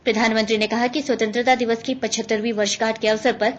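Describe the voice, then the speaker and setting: A woman's voice: a little high, little pitch movement, fast, slight background noise. A woman reading a scripted regional news bulletin on the radio in a formal news reader's style.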